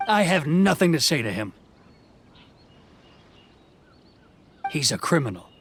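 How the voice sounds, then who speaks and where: Stern male officer for an anime game: fairly high, creaky, curt, dismissive, commanding. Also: very animated, fairly quick, slight background noise. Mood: cold scorn. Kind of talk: anime video game voice acting.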